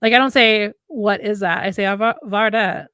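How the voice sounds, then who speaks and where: A woman's voice: medium pitch, normal speed, a bit bright, smooth, no room sound. A woman in her late thirties chatting casually as a live stream co-host, reflective and rambling as she rephrases herself. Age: late 30s. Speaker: writer co-hosting a live stream. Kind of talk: casual chat.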